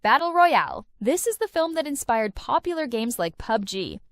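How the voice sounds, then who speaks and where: A woman's voice: a little high, very animated, moderate pace, music in the background. A woman narrating a countdown of film and series recommendations in an enthusiastic, recommending tone. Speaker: video host. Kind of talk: scripted list-video narration.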